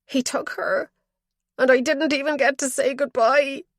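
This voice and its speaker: A woman's voice: high voice, fairly even intonation, a little slow, dry recording, clean studio sound, pitched above the performer's own voice. A woman voicing a confused, guilt-ridden dying old man in an audiobook, speaking in a broken, emotional way. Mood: wailing anguish. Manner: mumbling, wailing, broken. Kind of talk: audiobook character dialogue.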